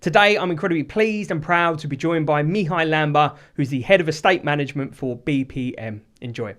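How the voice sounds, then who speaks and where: A man's voice: a little high, fairly quick, a bit bright. A man hosting a podcast interview, upbeat and encouraging, with frequent short agreements. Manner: upbeat, encouraging, many short "yep" backchannels. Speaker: podcast host.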